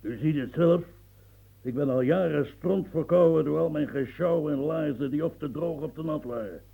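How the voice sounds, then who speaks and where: An actor playing a proud, blustering old marshal in a radio play, gravelly and coughing, swinging from mumbles to outbursts. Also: male voice, on the high side, moderate pace, mellow, poor audio. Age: older.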